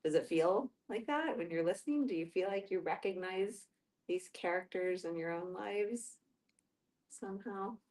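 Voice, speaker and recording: A woman's voice: mid-range voice, moderate pace, a little mellow. A woman in a casual group discussion, searching for her words as she shares and asks questions.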